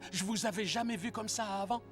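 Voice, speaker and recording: A man voicing an excitable, chatty donkey sidekick in an animated film. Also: high-pitched, fast, over music, lightly acted. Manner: rambling, nervous chatter.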